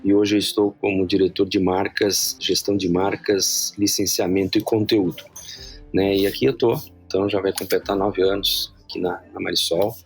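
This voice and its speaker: A man in his fifties with a Gaúcho Brazilian accent, a guest telling his career story in a relaxed, self-deprecating way. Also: deep voice, moderate pace, breathy edge, soft background music. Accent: Gaúcho Brazilian Portuguese. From Rio Grande do Sul, Brazil. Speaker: guest, brand director. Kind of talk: casual interview chat.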